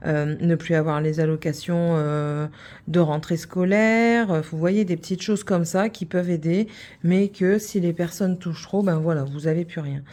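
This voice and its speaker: A woman's voice: on the low side, steady tone, normal speed, mellow. A middle-aged woman from France, hosting a craft channel and chatting casually, rambling with many fillers and restarts.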